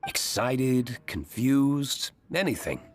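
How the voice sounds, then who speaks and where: Composed, slow-spoken gentleman. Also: mid-range voice, lively intonation, faint music behind. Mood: calm, encouraging. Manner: measured, polite, restrained. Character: composed, reserved gentleman tavern master, courteous and gently probing. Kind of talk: video game voice acting.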